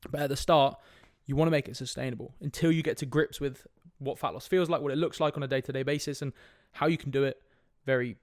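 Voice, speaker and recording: A man's voice: medium pitch, fairly even intonation, quick pace, hardly any pauses, a little mellow, no room sound, clean studio sound. A young man with a sniffly cold, hosting a casual solo fitness podcast in a direct, laid-back way with frequent "right?" asides. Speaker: fitness coach podcast host.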